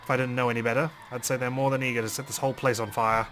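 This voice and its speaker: A man's voice: medium pitch, level tone, racing, fairly bright tone. A man reading visual novel text aloud as dramatic first-person narration. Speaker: let's play host reading game text aloud.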